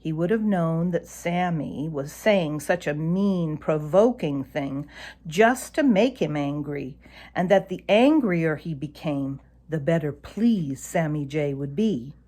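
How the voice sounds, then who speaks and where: A woman narrating a children's animal story aloud in an expressive storytelling style. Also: low voice, slow.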